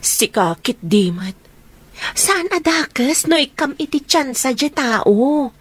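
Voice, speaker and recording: An actress playing a perky, teasing young best friend in an Ilocano radio comedy drama, chatty and coaxing. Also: female voice, sits high, very animated, slow pace, husky, full character voice.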